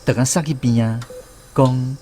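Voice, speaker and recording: A man with a Taiwanese accent narrating a scripted radio drama as a grown son, reflective and expressive. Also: medium pitch, normal speed, slight background noise. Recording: music behind the voice.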